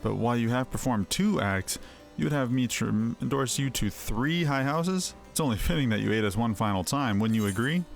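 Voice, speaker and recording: A man voicing a formal, exacting knight officer in a fantasy game, speaking in a measured, officious way. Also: medium pitch, lively intonation, vocal fry. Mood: officious, condescending. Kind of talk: voiced reading of fantasy game dialogue. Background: music.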